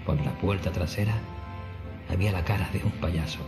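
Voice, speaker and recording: A man's voice: low voice, normal speed, over music. A man narrating a scripted first-person horror story as a storyteller.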